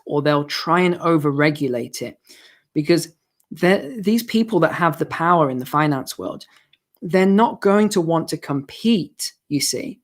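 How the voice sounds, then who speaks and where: Male host, hoarse, live Q&A stream, chatty explainer. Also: a little high. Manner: explanatory, chatty, with restarts and asides. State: hoarse, losing his voice. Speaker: finance channel host. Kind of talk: live-stream Q&A, answering viewer questions.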